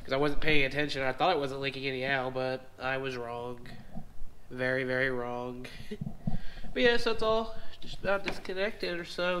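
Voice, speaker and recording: Sniffly young man in a garage. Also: on the high side. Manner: laid-back, swearing, rambling. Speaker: vlogger working on a drift truck. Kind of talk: casual garage vlog. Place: large metal garage shop. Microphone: action camera worn or handheld. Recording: action-camera audio with clear background noise.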